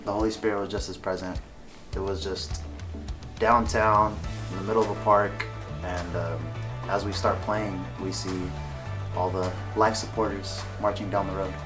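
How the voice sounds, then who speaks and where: A man's voice: low-pitched, little pitch movement, moderate pace. A man in his twenties, a band member, speaking in an earnest, reflective way. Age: 20s. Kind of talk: casual chat. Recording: background music.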